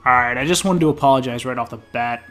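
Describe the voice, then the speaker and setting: A man's voice: mid-range voice, fast talker, a bit bright, faint music behind. A man with a stuffy, nasal voice from an infection talks casually through a gameplay preview as a game editor. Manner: casual, chatty, spontaneous.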